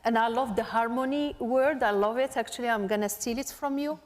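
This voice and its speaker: A woman from Morocco with a fairly high voice speaks on a panel, laying out points emphatically one by one. Her voice carries the long echo of a conference hall. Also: fairly quick. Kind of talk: unscripted panel discussion.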